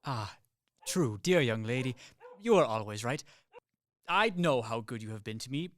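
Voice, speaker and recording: A man's voice: mid-range voice, lively intonation, moderate pace, natural voice. A man acting in a radio play as a tired, practical professional soldier, apologetic and wry, sighing and hesitating. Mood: apologetic, resigned. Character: weary, practical professional soldier, politely self-deprecating.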